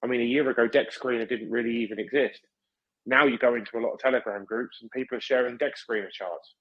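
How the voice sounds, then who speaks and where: A man from the United Kingdom in a casual chat, explaining his points in a measured way. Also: mid-range voice, steady tone, fairly bright tone, slightly rough. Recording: dull, lo-fi mic.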